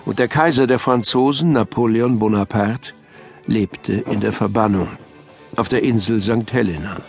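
An actor playing an elderly writer looking back on his life in a last letter, in reflective, measured literary phrasing. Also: medium pitch, natural voice. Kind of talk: first-person audiobook memoir narration.

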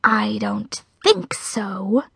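A woman's voice: medium pitch, big pitch swings, vocal fry, breathy edge, no room sound, studio quality, heavily acted. A woman voicing a sinister female giant spider with a ghostly, hissing, whispery voice and taunting menace. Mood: hissing threat. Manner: slow, drawn-out, menacing taunts.

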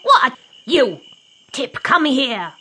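Wicked old witch for radio drama: high pitch, unhurried, theatrical, scheming cackle. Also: very animated, pushed and bright, breathy edge, exaggerated voice. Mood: angry scolding. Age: older. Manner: theatrical, gleeful, scolding. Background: music.

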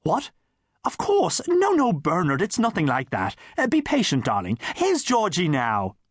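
A man voicing a theatrical, gushing mother, high and affected, for an audiobook. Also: wildly swooping pitch, unhurried, breathy edge, no echo, clean studio sound, heavily acted. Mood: flustered.

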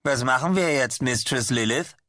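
A man doing a timid, dim young muscleman, pitched up. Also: normal speed, pushed and bright, no room sound, studio quality, close to an everyday voice. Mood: timid, doubtful. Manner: hesitant, submissive. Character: dim, timid, muscle-bound young male cult follower. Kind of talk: audiobook character acting.

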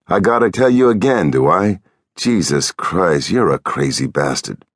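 A man voicing a small, irritable, bossy American drifter in an audiobook, with gruff, scolding, folksy speech. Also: low voice, expressive, a little slow, no room sound, studio quality, exaggerated voice. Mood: exasperated.

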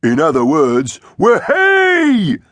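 An excitable dinosaur crewmate from a storybook space adventure, played by a male narrator. The voice sits well above the reader's own and swings widely in pitch, with emphatic, animated delivery. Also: normal speed, no room sound. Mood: jubilant.

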